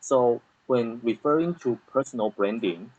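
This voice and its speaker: A man's voice: mid-range voice, normal speed, darkish tone, husky, lo-fi sound. A man from Taiwan interviewing a guest, giving frequent short affirmations and summarizing her answers. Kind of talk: interview hosting.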